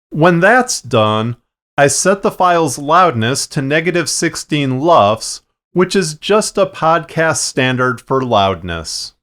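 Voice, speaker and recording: Man, mid-pitched smooth voice, unhurried delivery, explanatory tutorial narration, plain-spoken. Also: fairly bright tone. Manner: measured, plain-spoken, instructional. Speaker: fiction podcast producer and narrator. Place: acoustically treated recording space.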